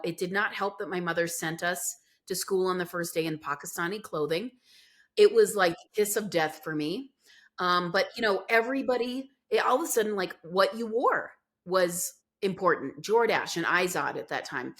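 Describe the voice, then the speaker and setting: A middle-aged woman, a podcast guest, telling her life story in an earnest, reflective way with frequent fillers. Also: on the low side. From United States. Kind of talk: candid conversational storytelling.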